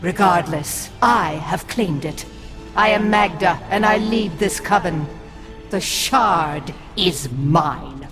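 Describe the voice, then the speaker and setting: A woman's voice: lowish voice, takes it slowly, music in the background. A woman voicing a scheming witch who leads a coven, cold and taunting, from a video game. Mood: haughty.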